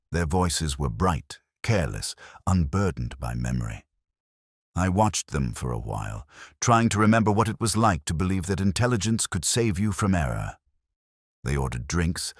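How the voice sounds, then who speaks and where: Very deep, brooding detective narrator, played by a man. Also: no echo, studio quality, exaggerated voice. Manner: brooding, literary, measured. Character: weary, melancholy older male police detective narrating his case. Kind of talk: scripted first-person mystery narration.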